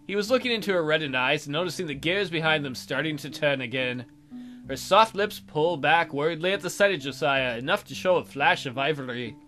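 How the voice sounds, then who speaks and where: A man's voice: a little high, animated, fairly quick, bright, forceful tone, soft background music. A man hosting a let's play, reading a visual novel aloud as dramatic narration with joking asides.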